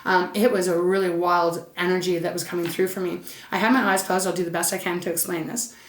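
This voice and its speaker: A woman's voice: lowish voice, level tone, quick pace, reverberant. A woman giving a casual tarot reading, chatty and soothing, her voice a little scratchy and stuffed up. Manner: chatty, soothing, addresses listener as Saggy. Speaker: tarot reader.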